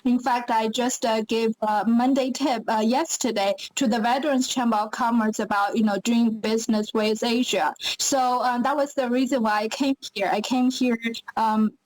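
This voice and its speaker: A woman's voice: on the high side, fairly even intonation, moderate pace, few pauses, darkish tone, rough recording. A woman from Shanghai with a Chinese accent, speaking as an interview guest who explains things at length with frequent hesitations. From China (Shanghai). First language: Mandarin Chinese. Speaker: guest, linguist and cross-cultural communication expert. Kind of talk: casual interview talk.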